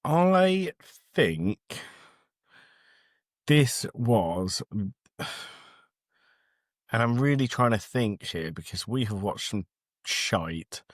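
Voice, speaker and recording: A man's voice: medium pitch, sing-song, drawn-out words, pauses often, dry recording, studio quality. A man co-hosting a film podcast, chatting casually in a blunt, sweary, emphatic way. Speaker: podcast co-host. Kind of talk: casual film review chat.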